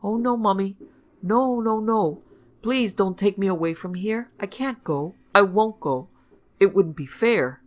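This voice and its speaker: A woman's voice: lowish voice, normal speed. Fretful young girl, acted by a woman, querulous, pleading, storybook character, dull recording. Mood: dismayed, pleading. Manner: querulous, imploring.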